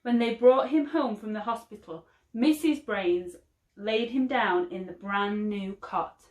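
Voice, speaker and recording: A woman teacher reading a picture book aloud for children, slow and expressive. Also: medium pitch. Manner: slow, expressive, lively storytelling. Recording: roomy echo.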